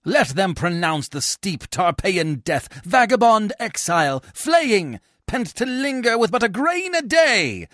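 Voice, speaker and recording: A man's voice: high-pitched, big pitch swings, measured pace, few pauses, a bit bright, dry recording, clean studio sound, pitched above the performer's own voice, heavily acted. A man playing a proud, furious Roman general in a dramatic reading, scornful and defiant.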